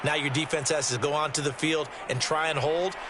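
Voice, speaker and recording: A man's voice: fairly high pitch, fairly even intonation, fairly quick, background noise, natural voice. A man with an American accent giving conversational, explanatory color commentary on a football game. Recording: game audio with loud music underneath.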